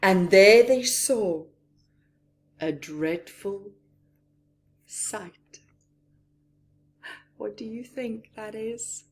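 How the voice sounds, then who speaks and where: A woman reading a picture book aloud to young children, expressively, with asides to her listeners and hushed whispers. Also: mid-range voice, slight background noise. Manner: acts out characters, pausing for asides to listeners. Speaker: children's story reader.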